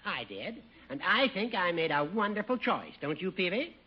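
An actor playing a sly, boastful older judge, teasing and theatrical in a 1950s radio comedy. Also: male voice, high-pitched, sing-song, normal speed. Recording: muffled, faintly hissy old radio recording.

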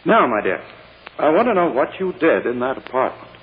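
An actor playing a pompous, impatient prosecutor questioning a child in a condescending, formal way in a 1940s radio drama. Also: male voice, medium pitch, sing-song, brisk, natural voice. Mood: patronizing. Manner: coaxing, condescending, formal courtroom address. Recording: old radio recording, muffled, long hall reverb.

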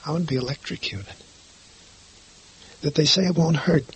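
An actor playing a condemned man awaiting execution, speaking quietly and flatly in a 1950s radio drama. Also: a little high, moderate pace, husky, poor audio. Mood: numb, hollow. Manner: quiet, flat, hollow. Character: condemned young man awaiting the electric chair, numb.

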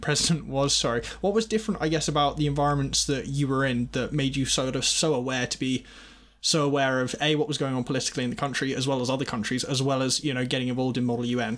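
A male interviewer talks at a brisk clip. His questions wind on and restart, softened with polite asides like "if you don't mind me asking.". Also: medium pitch, fairly even intonation. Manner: long, winding questions with polite apologies.

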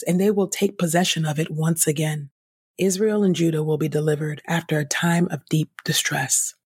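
A woman reading scripture aloud as a podcast host, in a measured, declarative way. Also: deep voice, darkish tone, husky.

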